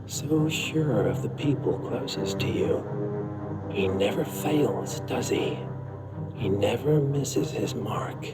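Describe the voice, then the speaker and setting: An actor playing a smug, calculating villain in a video game, with a measured, taunting manner. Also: male voice, medium pitch, close to an everyday voice. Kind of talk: cinematic game dialogue. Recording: loud music behind the voice.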